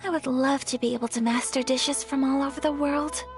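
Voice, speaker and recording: A woman voicing a refined, gentle young noblewoman in a video game, speaking politely and formally with sincerity. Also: sits high, steady tone, faint music behind. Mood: gracious, pleased. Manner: polite, formal, measured wording. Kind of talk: video game character acting.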